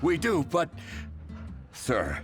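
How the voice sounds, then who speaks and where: An actor playing a panicky, jittery junior guard in a video game. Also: male voice, a little high, huge pitch swings, very slow, exaggerated voice. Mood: panicked. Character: panicked male guard, jittery subordinate knight. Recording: game music faintly behind the voice.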